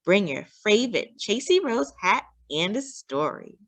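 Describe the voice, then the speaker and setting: Woman acting a hopeful little girl, storybook character, earnest, pleading, lively pitch, slow pace. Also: heavily acted. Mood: eager. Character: young girl, eager, hopeful, loving daughter.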